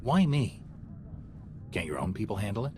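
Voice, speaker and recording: Casting: a firm heroic commander for a game, lowish expressive voice, measured and direct, music underneath. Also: male voice, slight background noise. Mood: businesslike. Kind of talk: video game cutscene acting. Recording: music behind the voice.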